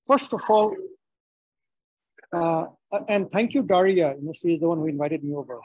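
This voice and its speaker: A middle-aged man from Nepal with a Nepali accent gives a prepared webinar talk in a measured, phrase-by-phrase delivery. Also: fairly high pitch, darkish tone, no room sound, poor audio. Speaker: webinar speaker.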